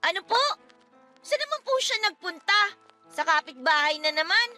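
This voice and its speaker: A woman's voice: very high voice, expressive, normal speed, bright, forceful tone, soft background music, heavily acted. A woman playing an earnest, respectful young daughter who questions and pleads in a radio-style drama. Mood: bewildered. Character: young daughter, earnest, polite, devoted to father.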